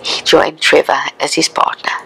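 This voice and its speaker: A woman's voice: moderate pace, sharp tone, low-quality recording. A woman in her sixties with a South African accent, looking back on her life in a reflective, conversational oral history interview. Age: 60s.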